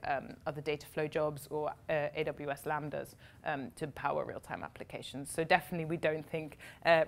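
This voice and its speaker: A young woman answers questions at a tech meetup in a slightly low voice. She explains fluently and briskly, dropping in casual fillers as she goes. She is speaking live in a meeting room with an audience.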